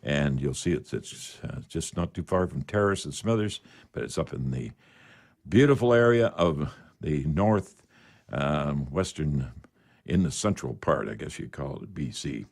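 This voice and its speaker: A man's voice: a bass voice, expressive, measured pace, creaky, darkish tone, breathy edge. A Canadian man hosting a home improvement radio show, talking in a folksy, emphatic way and repeating himself to drive points home. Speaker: radio call-in show host. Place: radio studio.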